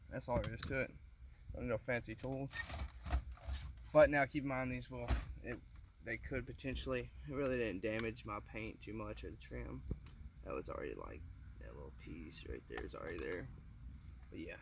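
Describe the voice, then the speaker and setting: A man giving a casual hands-on how-to, informal and halting as he thinks aloud while working, recorded on a handheld phone inside a car. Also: medium pitch, background noise. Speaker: car DIY demonstrator. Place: inside a car, close quarters.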